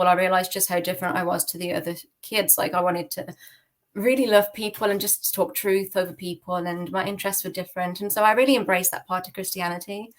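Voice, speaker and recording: A British woman speaks as a podcast guest, recounting personal experiences in an informal, candid way. Her pitch sits in an ordinary middle range for a woman. The delivery is conversational, loosely phrased and sprinkled with casual filler words. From United Kingdom. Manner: candid, conversational, peppered with "like" and "kind of". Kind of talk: informal personal storytelling in an interview.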